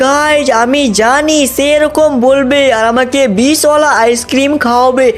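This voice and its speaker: A male gaming host gives excited story-style commentary as he plays. He speaks straight to the viewers throughout, in an exclamatory way. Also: very high-pitched, moderate pace. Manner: addresses viewers constantly, exclamatory.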